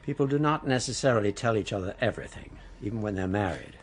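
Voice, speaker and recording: An actor playing a shrewd older family lawyer in a radio drama, measured and pointed. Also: male voice, on the low side, expressive, a little hiss or hum. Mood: measured, stern.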